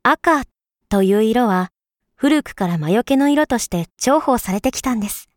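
A woman acting an overly polite, persuasive female shop clerk in a voice drama, speaking in smooth honorific sales talk. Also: a little high, expressive, normal speed, dry recording, studio quality, lightly acted. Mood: earnest, persuasive.

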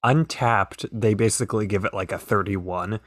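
A man's voice: lowish voice, moderate pace, no echo, studio quality. Hesitant, rambling male co-host. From Pennsylvania. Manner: hesitant, rambling, many restarts. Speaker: podcast co-host. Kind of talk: casual chat.

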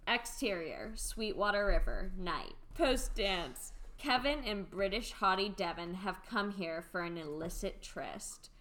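A woman's voice: medium pitch, lively intonation, a little slow, few pauses, fairly bright tone. A young adult woman reading the stage directions in a podcast radio play, dry and matter-of-fact.

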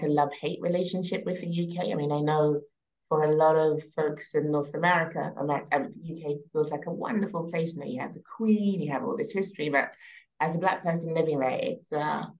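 Low-voiced London woman, relaxed. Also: highs cut off. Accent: British, London. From England. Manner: relaxed and conversational, frequent ums and trailing soft endings. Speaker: guest. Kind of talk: casual podcast interview.

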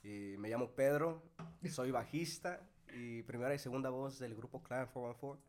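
Nervous bass player. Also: male voice, moderate pace, darkish tone, slight background noise. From United States. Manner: a bit nervous, mixes English and Spanish. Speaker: band bassist and singer. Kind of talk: casual chat.

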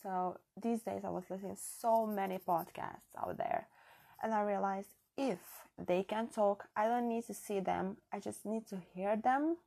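A woman's voice: mid-range voice, unhurried, vocal fry, dark tone. A woman from Europe speaking English as a second language with a non-native accent, hosting her own podcast in an earnest, chatty, reflective solo talk on a built-in device mic. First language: not English. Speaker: podcast host. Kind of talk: casual solo podcast monologue. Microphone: built-in device mic, no proper microphone.

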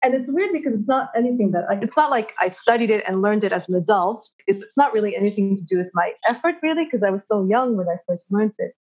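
Japanese-born woman, american accent, kinda halting and reflective, muffled lo-fi sound. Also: medium pitch, darkish tone. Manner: reflective, halting, with many restarts and fillers. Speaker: forum participant. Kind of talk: casual personal sharing in a discussion. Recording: dull lo-fi audio.

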